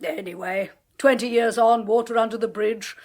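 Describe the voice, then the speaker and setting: An actress playing a sharp-tongued, high-voiced former stage ham. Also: female voice, normal speed, lightly acted. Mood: sardonic. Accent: British English. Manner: sharp-tongued, dry, flamboyant. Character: sardonic, theatrical middle-aged woman medium, ex-actress. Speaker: clairvoyant. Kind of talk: 1980s radio drama acting.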